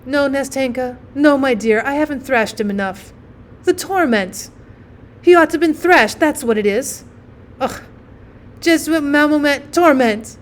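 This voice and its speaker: A woman's voice: sits high, a little slow, slight background noise, pitched above the performer's own voice. A woman voicing an overwrought, self-pitying mother who scolds and laments in a storybook reading. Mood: scolding. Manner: lamenting, melodramatic.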